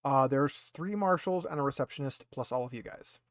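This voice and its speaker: A man's voice: mid-range voice, a little fast, dry recording, studio quality. A man acting as game master, narrating a tabletop roleplaying game in a conversational, hesitant way.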